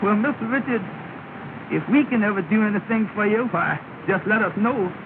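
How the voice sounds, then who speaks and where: An actor playing an earnest, humble Southern cab driver in an early 1930s film comedy, with a folksy, plaintive, deferential manner. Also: male voice, sits high, normal speed. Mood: grieving, earnest. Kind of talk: 1930 early sound film comedy acting. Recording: scratchy, tinny, noisy 1930 film soundtrack.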